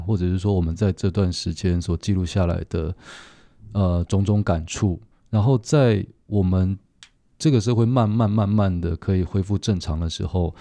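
A man's voice: deep voice, mellow, no room sound. A man speaking on a podcast in an earnest, thoughtful, reflective way.

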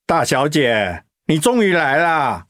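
Irritated eldest son, animated pitch, dry close sound, radio play. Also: male voice, slow pace, dry recording, heavily acted. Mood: exasperated. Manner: hushed then exasperated, arguing. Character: defensive, exasperated eldest son.

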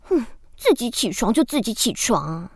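A woman's voice: a little high, sing-song, moderate pace, breathy edge, dry recording, studio quality, full character voice. A woman playing a petulant, ambitious, dramatic young middle sister in a children's radio drama. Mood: annoyed, petulant.

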